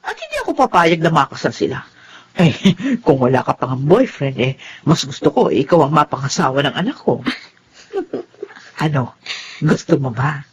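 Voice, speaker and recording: A woman's voice: sits low, expressive, moderate pace, slight background noise. A woman playing a scheming, meddling middle-aged mother-in-law in an audio melodrama, with a Filipino accent and a taunting, emphatic delivery. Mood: gossipy, coaxing. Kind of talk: melodramatic radio-style drama acting.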